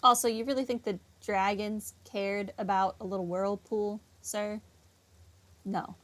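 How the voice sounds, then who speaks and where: A woman's voice: medium pitch, fairly even intonation, unhurried, fairly bright tone. A woman giving casual, slangy, joking commentary as she plays a video game. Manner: jokey, slangy. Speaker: gaming streamer.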